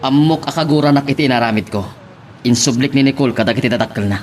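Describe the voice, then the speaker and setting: A man's voice: medium pitch, fast, slight background noise, natural voice. A man playing an exasperated, responsible older brother in an Ilocano radio drama, with a Filipino Ilocano accent. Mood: reproachful.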